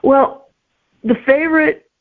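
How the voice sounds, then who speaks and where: A woman's voice: sits high, steady tone, slow. A middle-aged woman, a guest author chatting casually in an interview over a narrow phone-like connection. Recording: tinny narrow phone-like line.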